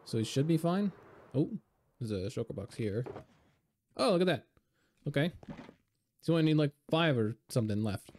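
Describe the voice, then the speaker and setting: Hoarse, raspy man with a mellow voice. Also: medium pitch, moderate pace, long pauses, slight background noise. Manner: relaxed, rambling, many fillers. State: hoarse from being sick. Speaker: game video creator. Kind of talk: casual gameplay commentary.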